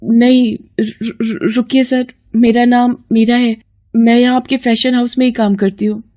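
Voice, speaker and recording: A woman voicing an earnest, polite young aspiring model in a moral story, speaking haltingly and mixing English into Hindi. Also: fairly high pitch, flat delivery, dull audio, pitched above the performer's own voice, lightly acted. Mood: frightened, pleading. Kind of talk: dramatised moral-story acting.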